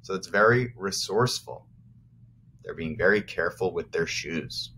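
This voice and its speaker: A man's voice: low voice, lively intonation, moderate pace, a bit bright, a little hiss or hum. An American man, a teacher of young children, reading aloud and explaining simply as he addresses the children directly. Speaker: first grade teacher.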